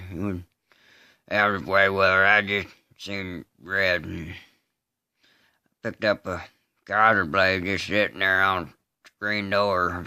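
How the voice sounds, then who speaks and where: A slow-witted country man from a film drama, confessing without remorse in a Southern US drawl. The voice is low, hard-edged and resonant. He speaks in flat, halting phrases, broken by grunted hums. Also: clear, resonant tone, dry recording, clean studio sound, exaggerated voice. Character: slow, simple-minded rural man confessing a killing, flatly unrepentant. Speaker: amateur voice artist. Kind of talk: dramatic monologue re-enactment of a film scene.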